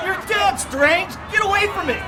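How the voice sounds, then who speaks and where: Fanatical police lieutenant, shrill, frantic shouting, audio drama, loud music behind. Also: male voice, normal speed. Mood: defiant panic. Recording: loud crowd din and music, distant shouting.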